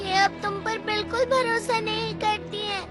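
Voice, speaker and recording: A woman's voice: very high-pitched, steady tone, moderate pace. A woman voicing a fretful, bossy but caring robot cat in a cartoon dub, exclaiming and cautioning. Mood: matter-of-fact. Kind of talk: Hindi cartoon dub acting. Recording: loud music under the voice.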